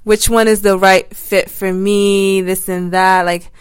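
A woman's voice: medium pitch, little pitch movement, measured pace, clean tone. A young woman student talking thoughtfully in a casual conversation, with many fillers. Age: young adult. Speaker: student guest.

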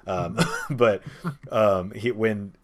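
A man with a deep, low voice leads a casual podcast chat. He talks conversationally about films as the host of the discussion. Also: steady tone, very slow.

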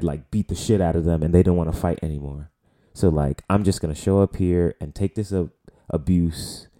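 A man's voice: low voice, mellow. A man in his late twenties with a New York accent, talking loosely and profanely as host of a solo podcast. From the Bronx, New York. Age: late 20s. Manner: loose, profane, rambling with many fillers. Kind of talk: casual solo podcast talk.

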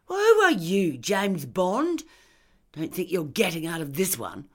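Woman acting a sneering, indignant villainess, swooping pitch. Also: sing-song, a little fast, slightly rough, exaggerated voice. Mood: sneering, defensive. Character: ranting, scornful middle-class woman villain confessing murder. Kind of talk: audiobook character dialogue.

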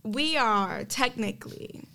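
A young woman co-hosting a podcast, chatting casually in a quick, blunt, joking way. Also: a little high, bright, forceful tone. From Dominican American. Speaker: co-host.

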